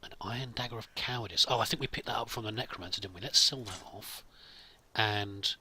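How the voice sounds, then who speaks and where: A man's voice: on the low side, a little fast, pushed and bright, breathy edge. A man hosting a let's play with casual role-played commentary, chatty and musing to himself.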